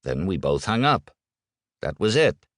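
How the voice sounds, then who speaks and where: Low-voiced man narrating with swooping intonation. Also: very animated, a little slow, no echo, studio quality. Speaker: audiobook narrator. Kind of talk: scripted first-person audiobook narration.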